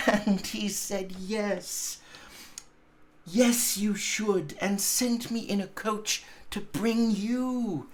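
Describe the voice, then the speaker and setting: Man putting on an excitable high-voiced little girl. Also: extremely slow, a little hiss or hum. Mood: brimful of glee. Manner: gleeful, eager, affectionate. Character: excited, loving little girl, younger sister.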